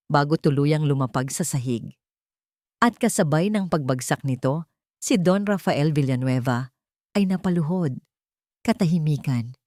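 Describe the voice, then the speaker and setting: A woman with a Filipino accent narrating a scripted dramatic story in the first person, in a measured, emotive way. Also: low voice, warm tone, no room sound, clean studio sound.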